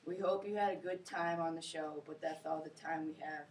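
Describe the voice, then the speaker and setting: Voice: medium pitch, fairly even intonation, fairly quick. Chatty skit host, far from the mic. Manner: upbeat, chatty questioning. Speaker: talk show host. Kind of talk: amateur talk show skit. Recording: roomy, off-mic and noisy.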